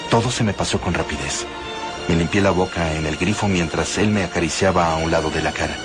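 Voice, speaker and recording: A man narrating an audiobook with measured, dramatic storytelling. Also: low voice. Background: music.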